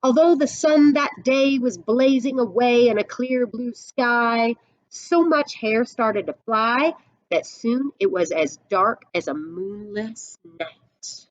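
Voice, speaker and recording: A middle-aged woman reading a picture book aloud expressively, with dramatic pauses and explanatory asides. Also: fairly high pitch, dull audio.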